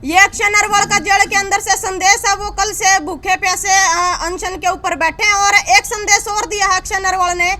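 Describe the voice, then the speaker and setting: A woman's voice: very high voice, fairly even intonation, moderate pace, few pauses, pushed and bright, slight background noise. A woman speaking as an activist spokesperson, giving a statement to reporters. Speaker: activist spokesperson at a press briefing.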